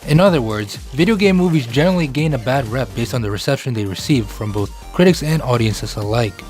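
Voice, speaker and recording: A man with an average-pitched voice narrates a scripted video essay as its host. Faint music plays beneath his commentary. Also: animated, a little fast, a little mellow.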